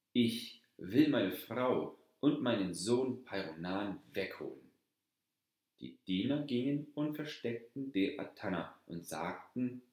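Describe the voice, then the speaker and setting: A man reading a fairy tale aloud as a storyteller, in a measured, deliberate manner. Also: on the low side, darkish tone. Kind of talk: scripted fairy-tale narration. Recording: very echoey, reverberant room.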